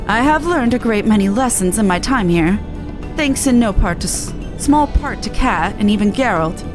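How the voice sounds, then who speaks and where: A woman's voice: mid-range voice, lively intonation, moderate pace, music in the background, lightly acted. A woman voicing an earnest, polite young male scholar in a game, speaking formally and precisely.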